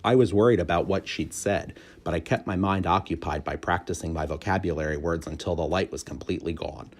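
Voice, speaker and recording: A man reading a children's book aloud as an expressive narrator. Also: deep voice, brisk. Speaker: storybook reader.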